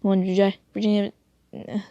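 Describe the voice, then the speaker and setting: A woman's voice: mid-range voice, level tone, fairly quick, mellow, dull audio. A woman reading a fan-made story aloud in an informal, amateur way, stumbling over words and rereading them. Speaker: fanfiction reader.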